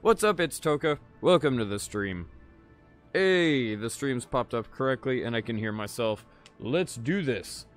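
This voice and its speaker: Tired, slightly raspy male streamer. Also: mid-range voice, lively intonation, a little slow, soft background music. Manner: laid-back, swears freely, chats to viewers. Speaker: gaming livestreamer. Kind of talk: casual live gameplay chat.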